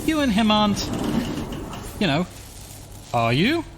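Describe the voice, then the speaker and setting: A man's voice: sits high, huge pitch swings, slow pace, loud music behind the voice, full character voice. A man playing a chatty, self-assured, slightly nosy neighbour in an audio drama. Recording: loud background ambience.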